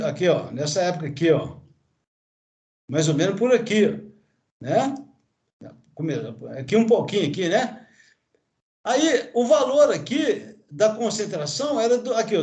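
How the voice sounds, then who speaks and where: Animated high-voiced Brazilian teacher, echoey room. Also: male voice. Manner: pointing at a graph with constant tag questions and fillers. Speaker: chemistry teacher. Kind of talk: online classroom lecture.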